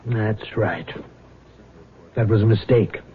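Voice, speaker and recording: An actor playing a weary former gambling front man on the run, confessing in a deliberate, halting way in a radio drama. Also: fairly low pitch. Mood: frank, weary. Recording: noisy, tinny old radio broadcast.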